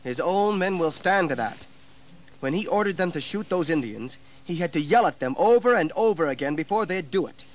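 An actor playing a proud, defensive colonial militia colonel, formal and protesting, in a historical audio drama. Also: male voice, fairly high pitch, expressive, moderate pace, lightly acted, low-quality recording. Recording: 1980s audio drama with background noise.

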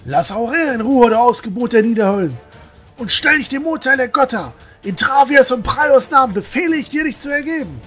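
A righteous young temple novice , played by a man, speaks with solemn urgency in a fantasy audio drama. His voice is high and rough-edged, over faint music. He comes across as earnest and devout. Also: animated, fairly quick, a bit bright, full character voice. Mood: urgent, righteous. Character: pious, earnest teenage boy, novice of a goddess.

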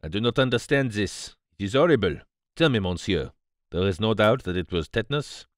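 A male reader puts on a theatrical, fastidious Belgian detective for a storybook reading. The accent is French-speaking Belgian, with foreign turns of phrase and a declamatory, easily outraged air. The pitch swings widely, in a dry close recording. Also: expressive, dry recording, close to an everyday voice. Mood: grave, dismayed.

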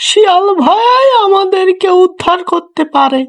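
A woman's voice: very high-pitched, animated, unhurried. A woman voicing a frightened, lamenting little bird in a cartoon fairy tale, plaintive in delivery. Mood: distressed, fearful.

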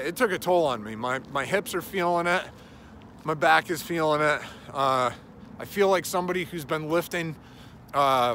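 A man's voice: on the high side, bright, forceful tone, slight background noise. A man in his thirties with a runny nose rambles profanely through a casual walking vlog, filmed on a phone at arm's length on a suburban street at dusk. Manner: rambling, profane, many uh fillers. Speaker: gym owner vlogger. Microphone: phone camera held at arm's length.

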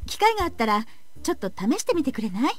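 A woman playing a calm, caring young female researcher in a visual novel game. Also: sits high, fast talker, faint music behind. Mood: gentle. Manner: soft-spoken, polite. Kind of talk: Japanese visual novel voice acting.

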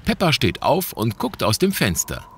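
A man narrates a children's audio play in scripted prose. His voice has lively intonation, with prominent music playing underneath. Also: medium pitch, normal speed.

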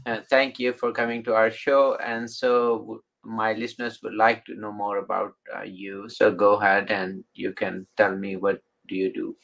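A man hosting an interview over a video call, asking halting questions with fillers. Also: fairly low pitch, level tone, gravelly, fairly bright tone. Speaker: host.

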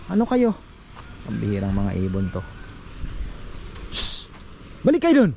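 A man's voice: fairly low pitch, wildly swooping pitch, a little slow, gravelly, dark tone. A man giving a casual farm tour, chatting to his viewers as fellow farmers. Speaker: farm vlogger. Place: outdoors on a farm. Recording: noisy outdoor phone recording.

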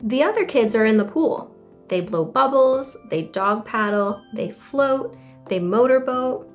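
A young woman reading stories and poems aloud to children, animated and clearly enunciated, with questions for her young listeners. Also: fairly high pitch, a little slow, soft background music. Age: young adult. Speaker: children's story time host and reader. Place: small indoor room at home.

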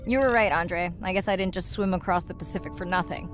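A woman's voice: fairly low pitch, fast, close to an everyday voice. Actress as eager woman ocean diver, music behind. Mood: excited, urgent. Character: warm, eager woman marine scientist and diver. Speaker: voice actor. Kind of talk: video game dialogue, natural acting.

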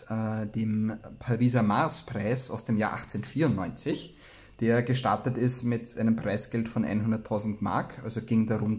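A man's voice: on the low side, darkish tone. A man chatting casually and playfully as co-host of a reading show, rambling as he goes. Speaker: co-host who reads old science fiction aloud. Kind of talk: casual podcast chat.

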